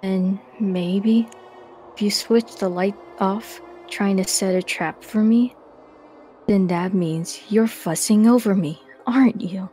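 A woman's voice: mid-range voice, dark tone, soft background music, natural voice. A woman voicing a coy, suspicious young woman who probes and teases in a fan dub of a comic. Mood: insinuating. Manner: slow, insinuating, teasing.

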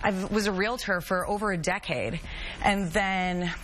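A woman in her thirties from the Chicago area, a guest on a TV talk show, telling stories in a chatty, animated way on a studio set. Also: mid-range voice, unhurried, slight background noise. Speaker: guest, travel and music blogger. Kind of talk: casual talk-show chat.

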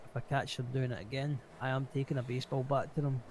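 A man's voice: mid-range voice, level tone, fast, a little mellow. A man improvising as an aggrieved biker business owner, speaking bluntly with swearing in a roleplay voice chat. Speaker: roleplay game player. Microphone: gaming voice chat mic. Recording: noisy game voice chat.

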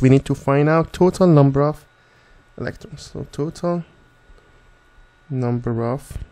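A man's voice: mid-range voice, warm tone. A man working as a chemistry tutor, narrating a methodical step-by-step tutorial.

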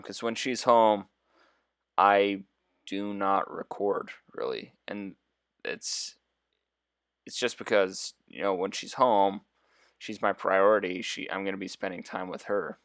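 Brief: young adult man, deep and soft-spoken, laid-back game commentary full of "um"s, lowish pitch. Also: a little slow, dry recording, studio quality. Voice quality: deep, quiet voice. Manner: relaxed, rambling, thinks aloud with fillers. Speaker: gameplay video host. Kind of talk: casual gameplay commentary.

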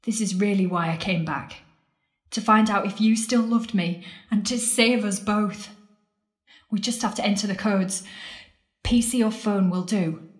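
An actress plays a worldly, defiant woman in a radio play. She speaks with intensity and a sardonic, challenging edge. The sound is roomy and echoey, with a mid-pitched voice. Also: measured pace, close to an everyday voice. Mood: urgent, persuasive. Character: Marrie, defiant worldly woman pleading with her lost love. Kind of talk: audio drama acting.

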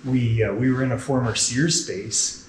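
Guy in his 30s, relaxed and matter-of-fact, lots of ums, big echoey gym room. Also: mid-range voice, moderate pace, a little hiss or hum. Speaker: gym owner, guest. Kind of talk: casual storytelling on a walking tour. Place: large indoor gym with murals.

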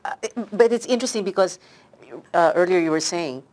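A woman talk show host with a notably low voice puts questions to her guest. She speaks in a chatty, loose way, full of fillers and small hesitations. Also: brisk, a little mellow. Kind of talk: interviewing, conversational.

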